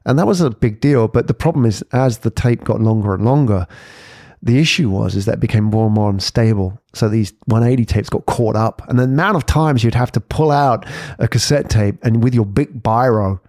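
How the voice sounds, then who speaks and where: Man, forties, medium-pitched voice, casual podcast host, reflective, conversational, dry recording. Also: brisk, mellow, dry recording. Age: 40s. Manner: reflective, peppers talk with rhetorical questions and tags.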